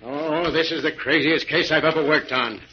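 An actor playing a skeptical, exasperated American police inspector in 1940s radio style, gruff and grumbling, on a muffled old recording. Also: male voice, on the high side, moderate pace. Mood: baffled, grumbling.

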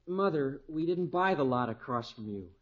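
An actor playing an earnest, friendly young American husband in a 1950s radio comedy. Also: male voice, fairly high pitch, animated, normal speed. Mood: earnest, puzzled. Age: young adult. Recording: muffled old radio broadcast.

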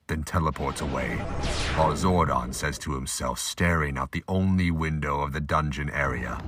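A man's voice: a bass voice, normal speed, music in the background. A man delivering scripted, emphatic narration for an action audio drama.

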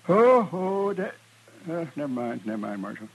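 An actor playing a gullible, excitable old rancher with folksy, rustic speech in an old-time radio western. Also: male voice, on the high side, normal speed, slightly rough, full character voice, low-quality recording. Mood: gleeful. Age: older. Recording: vintage radio recording.

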